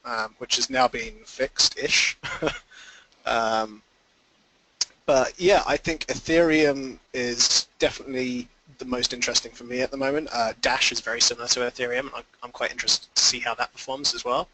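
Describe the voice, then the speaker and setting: A man's voice: mid-range voice, fairly even intonation, normal speed, sharp tone, rough recording. A man giving informal, explanatory answers as an investor guest in a conference call interview.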